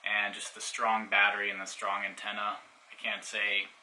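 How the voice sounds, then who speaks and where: A man's voice: lowish voice, monotone, normal speed, pushed and bright, a little hiss or hum, rough recording. A man giving a casual hands-on product demonstration in an informal, explanatory way. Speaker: product reviewer.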